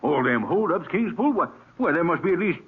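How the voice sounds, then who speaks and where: An actor playing a fussy, folksy small-town banker in a radio western, with a rural Western American accent and a flustered stammer. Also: male voice, sits high, big pitch swings, fast talker, slightly rough, full character voice. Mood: excited. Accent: rural American West. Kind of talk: 1950s radio acting. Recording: tinny old radio recording.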